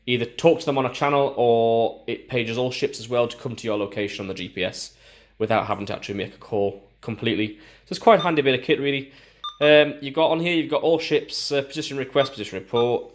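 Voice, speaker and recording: A man talks through a piece of gear at a medium pitch. He explains it informally and step by step, pausing and restarting as he demonstrates each part. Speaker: gear reviewer. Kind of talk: casual hands-on product walkthrough.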